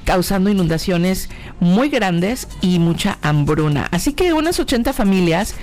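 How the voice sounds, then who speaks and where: A woman's voice: on the low side, very animated, unhurried, a little mellow. A woman with a Mexican accent hosting a radio show, talking informally and directly to the listener with rhetorical questions. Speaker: radio host. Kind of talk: chatty informal radio monologue addressed to the listener.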